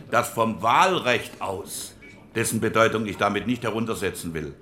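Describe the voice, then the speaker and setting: An actor playing a thoughtful, cautioning liberal statesman who speaks formally and deliberately in a dramatized debate. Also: lowish voice, fairly bright tone, a little hiss or hum. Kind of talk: dramatized parliamentary speech.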